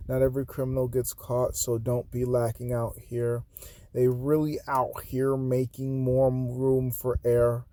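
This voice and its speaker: A man from Haiti reading his own spoken-word poems aloud, swinging between loud declarations and hushed, breathy asides. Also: medium pitch, flat delivery, a little slow, no echo.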